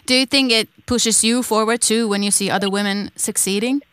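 Smooth-voiced woman interviewer. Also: on the high side, fairly even intonation, a little fast, a bit bright, no room sound. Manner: plain questions with some hesitations. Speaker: music journalist and host. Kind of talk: phone interview questions.

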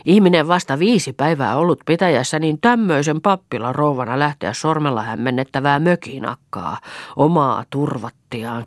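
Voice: mid-range voice, dry recording, clean studio sound, natural voice. A reader voicing a stern male country pastor who lectures his wife coldly. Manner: cold, lecturing.

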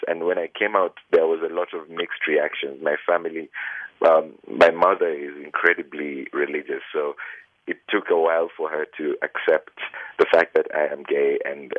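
A man's voice: extremely low pitch, no echo. A man, a human rights lawyer, answering interview questions earnestly with many fillers and restarts. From Kenya. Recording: tinny phone line, dry.

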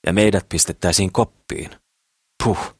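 A man voicing a brash young woman in an audiobook, brisk and scornful but comforting. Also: fairly low pitch, very animated, breathy edge, no echo, studio quality, pitched above the performer's own voice. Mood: irritated, scornful. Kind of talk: audiobook character voice.

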